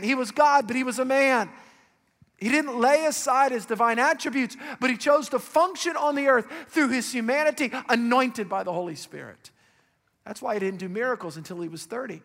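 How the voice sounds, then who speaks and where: A male pastor with a raspy voice preaching a sermon, calling out questions to the congregation with rising emphasis. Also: very high voice, fairly bright tone, long reverb tail.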